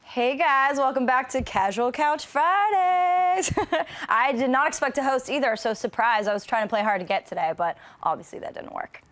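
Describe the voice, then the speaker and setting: Higher-voiced woman hosting casual panel chat. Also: animated, moderate pace, a bit bright. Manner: informal, slangy. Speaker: panel host of movie news show.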